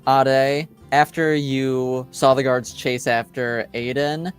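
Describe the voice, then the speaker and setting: Slow, halting man running a tabletop game. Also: mid-range voice, steady tone, clear, resonant tone, soft background music. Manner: halting, searching for words. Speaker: game master. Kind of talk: improvised tabletop game narration.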